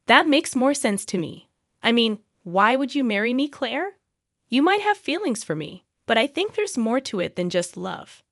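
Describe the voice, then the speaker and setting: A woman's voice: a little high, sing-song, normal speed, dry recording, studio quality. Weak-willed, doubting husband, read by a woman. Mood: doubtful. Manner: hesitant, evasive. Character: weak-willed, doubting husband, older than his wife.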